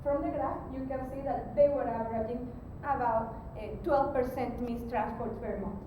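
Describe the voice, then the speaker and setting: A woman giving an explanatory technical presentation as a conference speaker, pausing hesitantly mid-sentence. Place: conference room, recorded from a distance. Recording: very echoey, distant mic, rumbling background noise.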